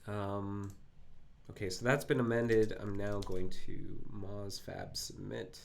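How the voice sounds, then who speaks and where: Gravelly low-voiced man, phlegmy throat. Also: little pitch movement. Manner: halting, filler-heavy, self-correcting. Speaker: live coding stream host. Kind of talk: thinking-aloud coding narration.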